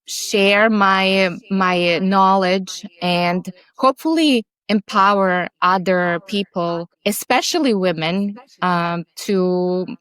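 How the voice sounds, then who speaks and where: Guest, woman, 40s, slow and halting, smooth lowish voice, dry close sound. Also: a bit bright. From Serbia. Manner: halting, searching for words with restarts. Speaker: podcast guest. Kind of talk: interview conversation.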